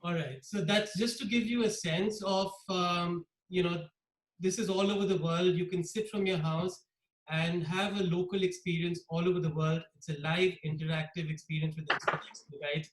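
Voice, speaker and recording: A man, slightly high-voiced for a man, presents over a video call from a noticeably echoey room. He explains patiently, often pausing with uh and um. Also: fairly even intonation. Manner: many fillers, explanatory. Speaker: webinar host presenting a tour company. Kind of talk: live online presentation.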